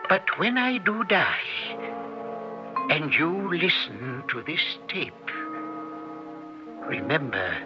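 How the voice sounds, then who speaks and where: An actor playing a doomed, resolute man speaking a last confession onto tape, in halting 1960s radio drama style. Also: on the high side, wildly swooping pitch, over music, heavily acted. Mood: grave, resigned. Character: doomed man recording a final taped confession, grave and resolute. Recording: muffled old radio broadcast recording.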